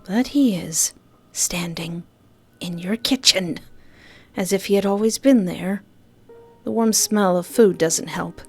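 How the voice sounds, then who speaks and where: Woman, gaming host, unhurried visual novel narration, playful commentary, clear background music. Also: medium pitch. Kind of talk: playthrough commentary and dramatic narration.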